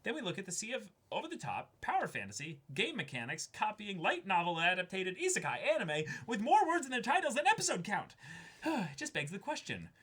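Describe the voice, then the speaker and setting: Animated young man, bright voice. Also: high-pitched, a little fast, breathy edge. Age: young adult. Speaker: co-host of an unboxing show. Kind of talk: casual chat and reading letters aloud. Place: small indoor room.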